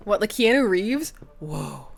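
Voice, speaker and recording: A woman's voice: medium pitch, big pitch swings, measured pace, creaky. A young woman streaming a dating game, chatting with viewers, laughing often and reading the game text aloud. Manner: giggly, laughs often between lines; chatty, asks viewers questions. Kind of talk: casual let's-play chat, reading game text aloud.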